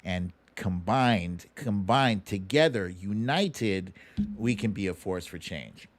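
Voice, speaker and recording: A man's voice: lowish voice, expressive, slow, no echo. A middle-aged American man hosting a political livestream, talking casually in an informal, slangy, plain-spoken way and chatting with viewers. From United States. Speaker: host of a political commentary livestream. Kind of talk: casual livestream commentary, taking viewer questions.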